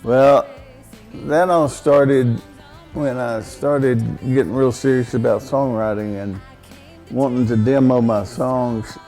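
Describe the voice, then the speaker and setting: A man with a baritone voice, speaking as a songwriter guest in a casual interview, plainspoken and rambling with frequent fillers and restarts. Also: fairly even intonation, slow pace, dark tone, soft background music.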